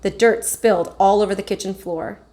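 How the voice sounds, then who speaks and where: A woman teacher reading a children's chapter book aloud with expressive, animated storytelling. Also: mid-range voice, fairly quick.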